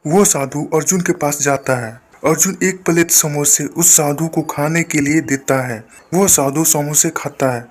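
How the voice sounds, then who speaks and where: A man's voice: fairly high pitch, a little slow, hardly any pauses. A man narrating a simple moral story as a scripted storyteller.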